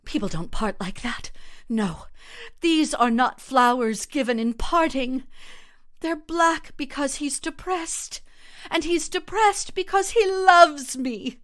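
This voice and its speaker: A woman narrator plays a volatile woman in love from a classic short story. Her voice sits high and swings widely in pitch, with drawn-out, theatrical phrasing. She is coy and haughty, given to melodrama. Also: animated, a bit bright, exaggerated voice. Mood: hopeful.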